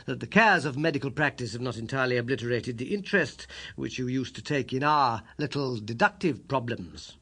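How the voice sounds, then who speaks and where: A man voicing a brisk, self-assured British gentleman detective in an audiobook, high and somewhat strident, with a quick, incisive manner. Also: animated. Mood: probing, brisk.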